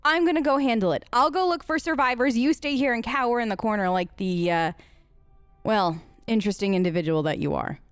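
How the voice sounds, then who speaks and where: Higher-pitched woman, dry close recording. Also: brisk, clean tone, no room sound, faint music behind. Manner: informal, outspoken. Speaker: let's play host. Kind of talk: casual improvised role-play commentary on a game.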